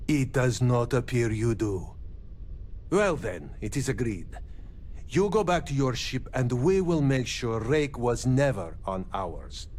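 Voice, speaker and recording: An actor playing a level-headed spaceship captain in a video game, speaking in a measured, formal way without contractions. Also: male voice, mid-range voice, lively intonation, darkish tone, slight background noise. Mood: measured, firm. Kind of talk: video game dialogue acting.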